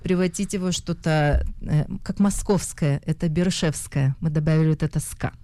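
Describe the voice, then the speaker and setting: A young woman from Russia speaks with a noticeable Russian accent in a somewhat low voice. She talks in a hesitant, conversational way, full of pauses and self-corrections. The sound is close and dry. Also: mellow, no echo. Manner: searches for words with many fillers and restarts. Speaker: actress and interview guest.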